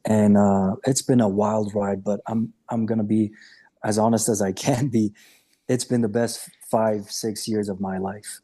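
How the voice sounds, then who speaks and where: Earnest man with a dark, husky voice. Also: fairly low pitch, flat delivery, measured pace. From Romania, raised in Austria. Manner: earnest, candid storyteller. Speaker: podcast guest, coach. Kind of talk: casual interview chat.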